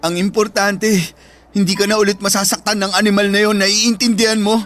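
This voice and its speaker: An actor playing an emotional, protective older brother with a Filipino accent in a radio drama, pleading and earnest. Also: male voice, high-pitched, flat delivery, a little fast, fairly bright tone. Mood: hurt, protective. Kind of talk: Tagalog radio drama acting. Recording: background music throughout.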